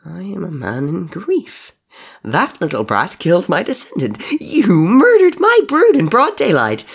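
One person acting out a vengeful monster king, storybook villain, sneering drawl, hissing menace, gravelly creak. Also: mid-range voice, lively intonation, brisk. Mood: grieving fury. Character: cruel, sneering, vengeful monster king mocking his captives.

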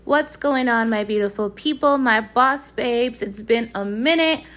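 A woman hosting a podcast, her voice hoarse from losing it, talking in a hyped, slangy way straight to her listeners. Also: a little high, measured pace, loud music behind the voice. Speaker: podcast host. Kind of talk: casual podcast chat.

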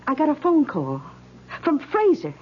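Sweet coy american lady, highish voice, dull old radio with faint hiss. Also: wildly swooping pitch, a little slow, exaggerated voice. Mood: coy, probing. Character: coy, knowing American woman, outwardly sweet and soothing. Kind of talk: 1970s American radio drama acting. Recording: muffled, hissy vintage radio broadcast.

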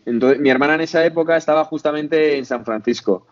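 A man's voice: fairly high pitch, fast, lo-fi sound. A man in his late twenties from Madrid with a Spain Spanish accent, a podcast guest talking casually with many fillers and restarts. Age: 20s. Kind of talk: casual interview chat.

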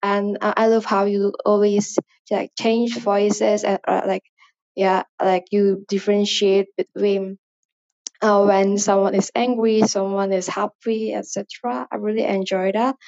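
A woman's voice: medium pitch, level tone, a little slow, a little mellow, lo-fi sound. A woman from Indonesia with an Indonesian accent, English not her first language, leaving a rambling, hesitant voice message full of fillers. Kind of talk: casual spoken voice message.

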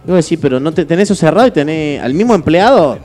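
A man in his twenties with an Argentine accent, hosting a casual podcast chat with quick jokes. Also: a little high, soft background music. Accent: Argentine Spanish. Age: 20s. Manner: fast, joking, animated storytelling. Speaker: radio podcast host.

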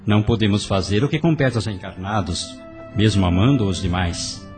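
An actor with a Brazilian accent playing a wise, firm spirit doctor in a radio soap, didactic and authoritative. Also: male voice, on the low side, normal speed, soft background music, natural voice. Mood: firm, instructive. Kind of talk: radio soap opera acting.

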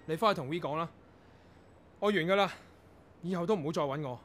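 Actor as a high-voiced, brusque young male composer. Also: fairly quick, fairly bright tone, lightly acted. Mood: bitter despair. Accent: Hong Kong Cantonese. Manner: curt, brusque, dramatic. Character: tormented young male composer, curt, anguished, losing control of his ears. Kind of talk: radio drama acting.

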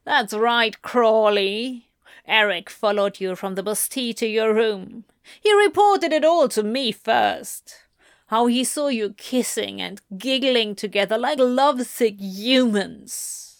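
A woman's voice: on the high side, moderate pace, hardly any pauses, dry recording, studio quality, close to an everyday voice. A woman voicing a leering male demon duke in a story, with a slimy, taunting manner. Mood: menacing, taunting.

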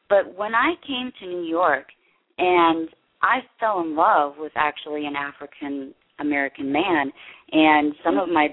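A woman guest in an interview, speaking earnestly and haltingly with many restarts. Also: lowish voice. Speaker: interview guest, production company head. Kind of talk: candid personal interview. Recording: tinny phone line.

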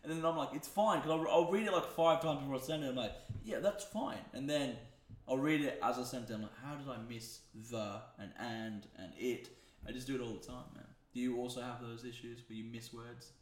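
A man's voice: medium pitch, fairly quick. A young man hosting a podcast, telling rambling stories and swearing freely. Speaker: podcast host. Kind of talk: casual chat. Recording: echoey room.

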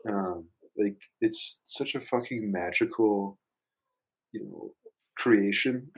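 A man chatting casually as a musician guest on a podcast, thinking out loud in a rambling, hesitant, reflective way and swearing casually. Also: lowish voice, takes it slowly, slightly rough, poor audio.

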